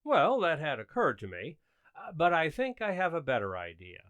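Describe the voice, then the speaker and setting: A man's voice: fairly high pitch, wildly swooping pitch, normal speed, a bit bright, dry recording. A man playing an earnest, fussy suburban husband and father in an old-time radio sitcom. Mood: insistent.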